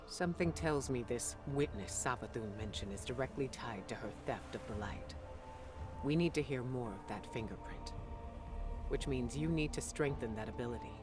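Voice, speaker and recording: A man voicing a thoughtful, serious mentor in a video game, giving mission briefings in a measured, reflective way. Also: medium pitch, natural voice. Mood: grave, intent. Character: grave, thoughtful male mentor directing an investigation. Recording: music underneath.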